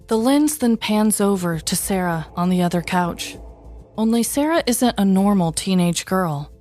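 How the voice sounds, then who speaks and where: A woman's voice: mid-range voice, animated, unhurried, mellow, soft background music. An American woman in her thirties narrating a scripted comedic story as a podcast host, with a wry, dramatic delivery. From United States. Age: 30s.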